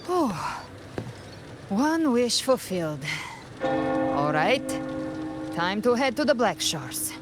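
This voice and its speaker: An actress playing a self-reliant young female adventurer, calm and gently supportive, in a video game. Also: on the high side, slow, long pauses, over loud music. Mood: gently teasing.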